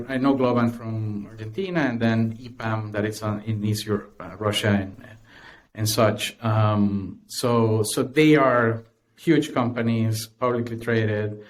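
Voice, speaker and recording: A man from Honduras with a Honduran Spanish accent, a podcast guest who talks with many fillers and restarts. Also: on the low side. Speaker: guest, tech company cofounder. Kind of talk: podcast interview.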